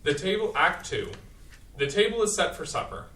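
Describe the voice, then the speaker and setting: A man's voice: a little high, very animated, normal speed, sharp tone. Man reading stage directions. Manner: plain, matter-of-fact. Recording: echoey room, distant mic.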